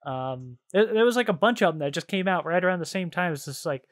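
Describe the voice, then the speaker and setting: A man with a slightly high voice talks as a podcast co-host. He rambles enthusiastically in loose slang, as in a casual chat about games and shows. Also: lively intonation, quick pace.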